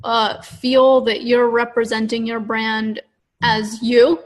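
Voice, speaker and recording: A woman's voice: on the high side, flat delivery, slow. A woman co-hosting a podcast, giving advice in an informal, chatty style peppered with "like". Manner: informal, frequent "like". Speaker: podcast co-host. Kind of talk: casual conversational advice talk.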